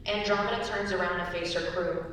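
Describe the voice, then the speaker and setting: A woman's voice: steady tone, a little fast, a bit bright. A young woman reading scripted narration and stage directions as a narrator. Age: young adult. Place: large echoey indoor performance space. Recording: very echoey hall, distant mic, rumbly noise.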